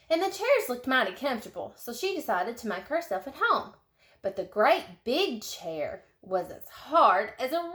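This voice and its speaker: A woman narrates a children's story in a fairly high, very animated voice. She leans on key words with dramatic, drawn-out emphasis. The room is noticeably echoey.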